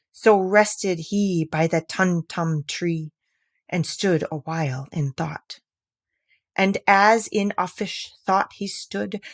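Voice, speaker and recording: A woman reading a children's story aloud as an expressive narrator. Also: mid-range voice, dry recording, studio quality. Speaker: audiobook reader.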